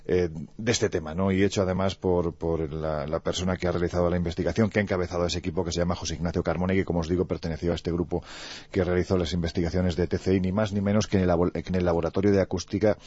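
A man from Andalusia talking about mysteries on a radio show in an animated, joking way, with lots of fillers. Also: low voice, racing, slightly rough, dull audio. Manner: animated, jokey, frequent fillers. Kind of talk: lively radio chat and storytelling.

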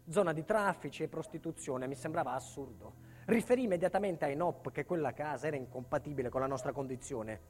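Actor as an embittered witness, higher-pitched male voice. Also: natural voice. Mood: weary, oppressed. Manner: solemn, intense, deliberate phrasing. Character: embittered male protected witness recounting life in hiding. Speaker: stage actor and narrator. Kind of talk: dramatic first-person theatre monologue.

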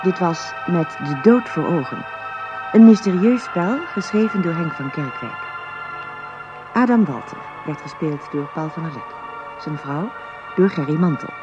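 A male radio announcer reads out drama credits formally in a fairly high voice. The recording is slightly dull, with clear music playing behind him. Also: lively intonation, unhurried. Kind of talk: formal scripted credits reading.

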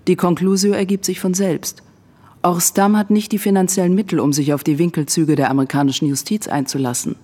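A woman's voice: on the low side, dark tone, slightly rough, in a large hall. A woman playing an assured, predatory businesswoman in her forties in a radio drama, poised and coolly pressuring. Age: middle-aged. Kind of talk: German radio drama acting.

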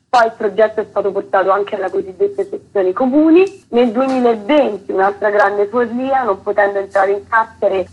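A woman's voice: mid-range voice, breathy edge, muffled recording. A woman being interviewed on the radio as a theatre director, explaining earnestly in long, fluent sentences.

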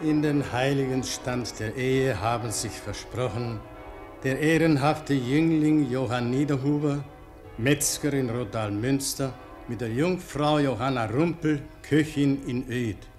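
A man's voice: mid-range voice, measured pace, a little mellow, faint music behind, lightly acted. A man playing a formal announcer in a 1950s German radio drama, solemnly reading out a proclamation.

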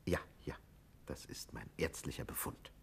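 An actor playing a grave, guarded doctor in a 1950s German radio play, formal and courteous with a hesitant delivery. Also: male voice, normal speed, a bit bright, natural voice. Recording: 1950s radio recording, faint noise.

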